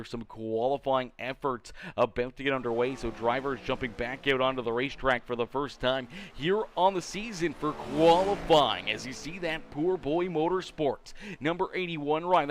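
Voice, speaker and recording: A man commentating a live sim racing league, energetic, with frequent fillers and restarts while fighting off a cold that leaves him congested and slightly nasal. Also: mid-range voice, a little hiss or hum.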